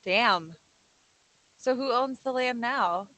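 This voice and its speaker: A woman's voice: a little high, takes it slowly, sharp tone, no echo, rough recording. A woman playing a sarcastic young woman who puts on bravado, in a horror script table read. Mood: sarcastic, curious. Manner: sarcastic, conversational.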